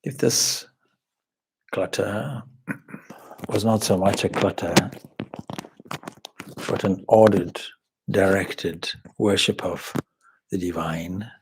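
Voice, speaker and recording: A man with a husky, breathy voice leads a guided meditation as a spiritual teacher, speaking in measured, reverent phrases with pauses. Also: deep voice, steady tone, a little mellow, slight background noise, poor audio. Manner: measured, reverent, pausing between short phrases. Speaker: swami leading a guided meditation. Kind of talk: slow spoken guided meditation.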